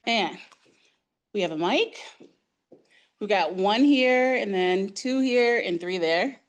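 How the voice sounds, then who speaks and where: A woman's voice: mid-range voice, animated, slow pace. A woman moderating a health talk, speaking earnestly and encouragingly as she thanks the doctor and opens the floor to questions.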